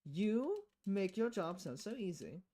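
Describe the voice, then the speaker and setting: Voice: mid-range voice, big pitch swings, a little slow, dark tone. A streamer voicing a confident, sardonic young female hacker in a visual novel game. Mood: breezy, confident. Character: confident, flippant young woman freelance hacker.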